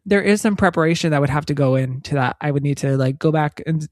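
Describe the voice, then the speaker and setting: A woman's voice: deep voice, quick pace, darkish tone, no echo, studio quality. A woman co-hosting a podcast, chatting casually and explaining things with examples. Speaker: podcast co-host. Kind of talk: casual chat. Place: recorded in Texas.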